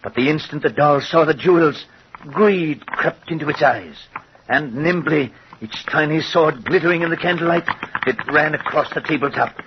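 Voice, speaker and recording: Casting: a scheming, jumpy craftsman-villain, slightly high male voice, dramatic and deliberate, on a noisy vintage radio thriller. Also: lo-fi sound. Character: nervous, scheming male master craftsman and thief. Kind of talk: old-time radio horror acting.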